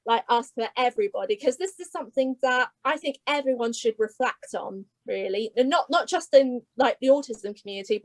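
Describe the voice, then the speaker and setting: Woman host, high voice, chatty, casual video-call panel. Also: rough recording. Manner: chatty, restarts a lot, upbeat sign-off. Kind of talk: casual online panel hosting.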